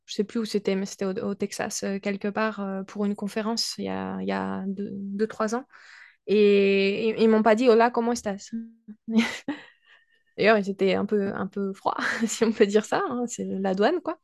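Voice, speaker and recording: A woman language teacher co-hosting a podcast, talking thoughtfully with frequent pauses and restarts, with a Mexican-tinged French accent. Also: medium pitch, steady tone, vocal fry, darkish tone, no room sound, studio quality. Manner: thoughtful, hesitant with many restarts and fillers. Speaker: podcast co-host. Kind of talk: casual conversation.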